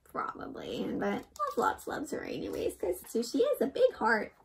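A young woman with a high voice hosts an interactive storybook read-aloud for children. Her delivery swings widely in pitch and is very expressive. She keeps checking in with the listener through simple questions. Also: moderate pace, creaky, a little hiss or hum. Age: young adult. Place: indoor room.

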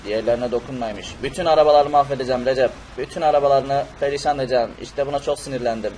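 Guy doing a cheeky pushy superhero for a cartoon, talks fast, slight background noise. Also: medium pitch, darkish tone, natural voice, poor audio. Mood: angry. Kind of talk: amateur cartoon-style game video dub.

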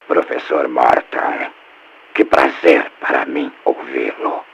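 Extremely deep, gravelly villain. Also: male voice, steady tone, exaggerated voice. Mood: condescending. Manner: smug, mockingly polite, measured menace. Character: calm, condescending, sinister male mastermind villain. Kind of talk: dubbed video game acting. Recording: muffled noisy taped radio-like recording.

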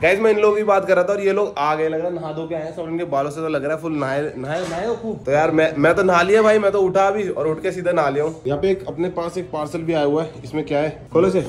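Young male vlogger, quick casual delivery, fairly high voice, phone recording indoors. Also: fairly even intonation, slight background noise. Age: 20s. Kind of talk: casual vlog chat. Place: home rooms and a gym, indoors. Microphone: phone at arm's length.

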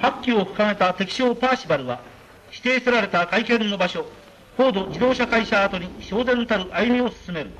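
A man narrating a 1940s newsreel in a solemn, measured, declamatory delivery, on a scratchy old soundtrack. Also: high-pitched, animated, a bit bright.

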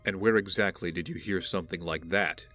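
An actor playing a guarded young wealthy heir in an audio drama, brooding and wary, with a measured, terse delivery. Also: male voice, deep voice, pushed and bright, faint music behind. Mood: wary, dry.

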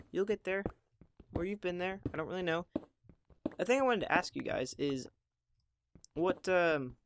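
A hoarse teenage boy in his mid-teens, with occasional voice cracks, chatting casually while playing a building game at home. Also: a little high, noisy background. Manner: rambling, chatty, self-deprecating. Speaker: Minecraft gameplay channel host. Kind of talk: casual gameplay commentary.

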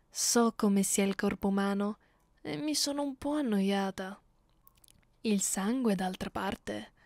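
A woman voicing a haughty, cruel, centuries-old vampire mistress in an ASMR roleplay, imperious and taunting in a measured way. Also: mid-range voice, a little mellow, no room sound, studio quality, close to an everyday voice. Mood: haughty, bored.

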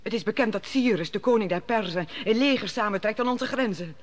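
A man's voice: high-pitched, brisk, close to an everyday voice. An actor playing a frightened king who questions the prophet anxiously in a vintage radio drama.

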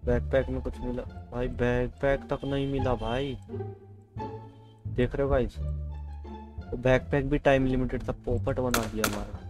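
A man's voice: mid-range voice, fairly even intonation, measured pace, long pauses. A man doing casual gameplay commentary, chatting to viewers and repeating his phrases. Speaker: gaming video creator. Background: game music.

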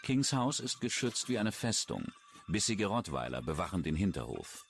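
Man, standard German accent, deep pitch, scripted crime narration, background noise. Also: very animated, normal speed, gravelly. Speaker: documentary narrator.

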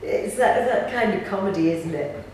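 A woman's voice: mid-range voice, lively intonation, moderate pace, darkish tone. A middle-aged woman, chatting informally in a casual interview. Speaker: pantomime actor. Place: small indoor room, seated on sofa. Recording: echoey room, distant mic, faint noise.

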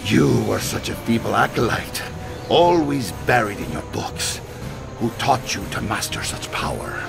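An actor playing a bitter, defiant, dying villain in a fantasy game, with a gravelly, creaky voice. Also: male voice, lowish voice, lively intonation, a little slow, exaggerated voice. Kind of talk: dramatic fantasy game dialogue. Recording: loud music under the voice.

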